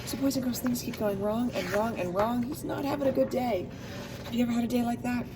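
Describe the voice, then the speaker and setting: A woman's voice: on the high side, a little fast, low-quality recording. A woman reading a picture book aloud to young children, expressively, with asides and questions to her listeners.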